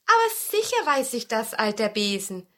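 Storybook role: helpful forest witch, eager and emphatic, raised bright voice played by a female reader. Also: fairly high pitch, moderate pace. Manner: animated, emphatic storybook delivery.

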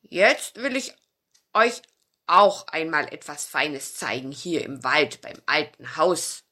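A woman's voice: deep voice, sing-song, slow, sharp tone, exaggerated voice. Woman doing a friendly old storybook dog. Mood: eager.

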